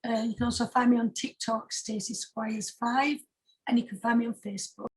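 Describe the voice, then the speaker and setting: Husky British woman, higher-pitched voice. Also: little pitch movement, brisk, poor audio. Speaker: singer-songwriter guest. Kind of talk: casual interview chat.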